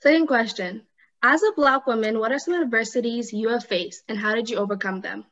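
A woman's voice: fairly high pitch, brisk, fairly bright tone. A teenage girl co-leading a school club, speaking casually and hesitantly with fillers on a video call. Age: teens. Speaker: club co-leader, panelist. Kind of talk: casual panel discussion. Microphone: webcam video call. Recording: dull lo-fi webcam audio.

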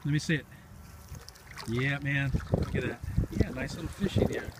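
A man's voice: medium pitch, normal speed. A middle-aged man giving casual running fishing commentary, repeating short encouraging phrases. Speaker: fishing vlog host filming. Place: outdoors on a grassy lake shore. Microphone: handheld camera mic. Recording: very noisy windy outdoor camera audio.

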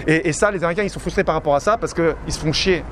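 A man's voice: fairly high pitch, very fast. A man, chatting informally and explaining things like a dating coach during a casual debrief outdoors. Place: outdoors on a city street. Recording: street noise with traffic.

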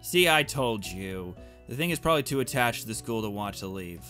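A man's voice: lowish voice, expressive, brisk, bright, forceful tone. A man voicing a flippant, evasive teenage schoolgirl from a visual novel, with breezy, exasperated teen talk. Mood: impatient. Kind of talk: visual novel character read aloud. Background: game music.

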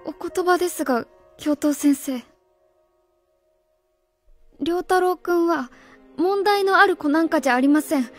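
Woman as an earnest, very high-pitched young teacher. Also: unhurried, long pauses, faint music behind. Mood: indignant. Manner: earnest, polite. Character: earnest young inexperienced female teacher, timid but principled. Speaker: actor. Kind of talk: radio drama acting.

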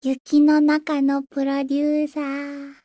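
Very high-voiced theatrical gothic anime girl. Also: completely flat delivery, takes it slowly, no room sound, clean studio sound. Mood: excited, sweet. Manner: switches between pompous archaic declarations and soft girlish plain talk. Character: theatrical gothic teenage idol girl, grandiose yet sweetly shy. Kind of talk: Japanese anime idol game voice lines.

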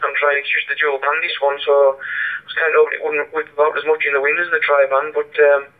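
Tinny radio voice, flat-toned man. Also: fairly high pitch, fast. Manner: rambling, hesitant, informal. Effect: two-way digital radio. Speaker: amateur radio operator. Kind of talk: casual radio chat. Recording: tinny band-limited radio transmission through speaker.

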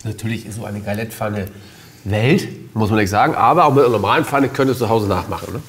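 A man's voice: fairly low pitch, fast talker, breathy edge. A man in his thirties hosting a cooking show, chatting casually with a guest and talking straight to viewers, on a lapel mic in a studio kitchen. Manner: chatty, lively, addresses viewers directly. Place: studio kitchen set, indoors.

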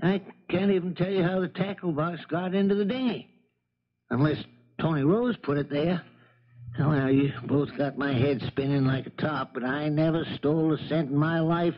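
An actor playing an old, folksy, honest and bewildered charter boat captain in a 1970s radio drama. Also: male voice, fairly high pitch, normal speed, natural voice. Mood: indignant, bewildered. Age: older. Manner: folksy, old-fashioned exclamations. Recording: dull vintage radio recording.

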